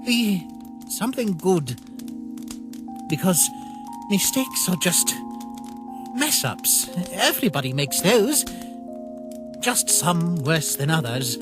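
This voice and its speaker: Casting: male actor as kind-hearted naive skeleton brother for a comic dub, halting, heartfelt delivery, music underneath. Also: high-pitched, very animated, exaggerated voice. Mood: gently pleading. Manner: earnest, halting, softly pleading. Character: earnest, gentle, naive male skeleton, caring younger brother. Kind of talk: dramatic comic dub acting. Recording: voice over background music.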